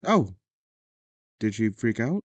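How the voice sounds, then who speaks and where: A woman's voice: a bass voice, sing-song, takes it slowly, no echo, highs cut off, full character voice. A woman playing a guarded but caring, wry teenager in an audio drama, speaking in a casual, understated, teasing way.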